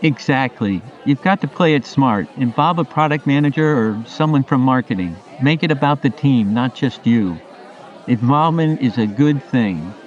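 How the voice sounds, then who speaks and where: A man's voice: mid-range voice, expressive, moderate pace, natural voice. Man acting supportive friend, dull sound, chatter. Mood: advising. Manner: questioning, advising. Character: Jerry, supportive, pragmatic male friend giving advice. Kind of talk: radio play dialogue.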